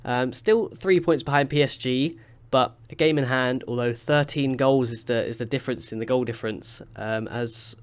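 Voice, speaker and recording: A man's voice: medium pitch, moderate pace, smooth. A man with a stuffy, blocked-up voice from a cold, chatting casually and rambling as he comments on his football management game. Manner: chatty, rambling with fillers and restarts. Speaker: gaming YouTuber. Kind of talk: casual let's-play commentary.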